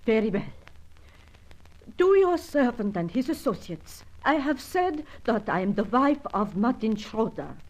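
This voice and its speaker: An actress playing a distressed, fearful European widow who confides in formal, careful, melodramatic phrases in a radio adventure serial. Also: female voice, on the high side, big pitch swings, measured pace, a little mellow, low-quality recording. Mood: tense, confiding.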